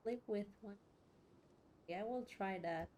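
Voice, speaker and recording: A woman giving casual let's play commentary, in a halting, giggly way with repeated words. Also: mid-range voice, heavy background noise. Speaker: gaming streamer.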